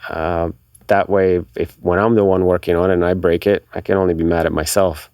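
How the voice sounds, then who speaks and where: Low-voiced man telling stories, close and dry. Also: level tone, brisk, darkish tone, clear, resonant tone, dry recording. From Bulgaria. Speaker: podcast guest, car enthusiast. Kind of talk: casual interview storytelling.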